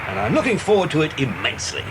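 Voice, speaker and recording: An actor playing a genial, reassuring British detective and family friend in a children's audio drama. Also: male voice, huge pitch swings, fast. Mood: hearty, reassuring. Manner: hearty, avuncular. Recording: noisy cassette with vehicle rumble.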